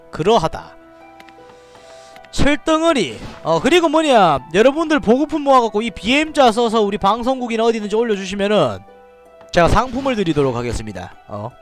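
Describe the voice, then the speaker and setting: An excitable man with a Daegu dialect streams a strategy game, his high voice leaping around as he shouts commands. Between bursts he drops to low mutters and asides to viewers. Faint music plays underneath. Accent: Gyeongsang (Daegu) dialect. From Daegu, South Korea. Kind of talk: live game commentary.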